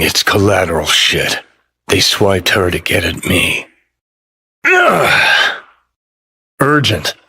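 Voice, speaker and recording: A man's voice: on the low side, sharp tone, husky, heavily acted. A male actor playing a cynical, hot-tempered rebel rocker in a cyberpunk video game, with a terse, sardonic, swaggering delivery. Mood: grim, bitter, then angry, urgent.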